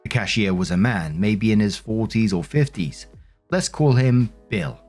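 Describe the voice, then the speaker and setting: Male story narrator, low register, scripted reading plus off-the-cuff host remarks, soft music bed behind. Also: a little slow. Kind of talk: scripted story narration with casual spoken commentary.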